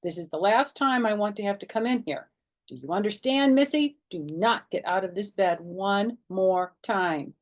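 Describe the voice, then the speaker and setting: A woman's voice: medium pitch, lively intonation, pitched above the performer's own voice, natural voice. Woman voicing weary, grouchy storybook dad. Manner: emphatic, deliberate scolding.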